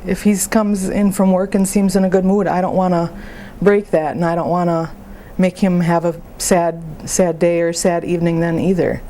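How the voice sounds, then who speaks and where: A woman plays a sad, exhausted young mother confiding in a counseling call. Her voice is slightly low and mellow, and she speaks haltingly in plain words, with faint noise behind her. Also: little pitch movement, lightly acted. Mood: sad, hesitant. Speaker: grieving mother on a follow-up support call. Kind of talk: role-played counseling phone conversation.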